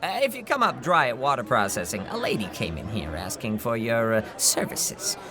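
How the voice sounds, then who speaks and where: A breezy noir bartender played by a man with a very lively, swooping delivery. He chats and teases like a friendly regular behind the bar, with music underneath. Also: medium pitch, moderate pace, close to an everyday voice. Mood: chatty, teasing. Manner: breezy, teasing banter. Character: colorful, chatty, friendly male bartender at a detective's haunt. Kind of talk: noir audio drama acting.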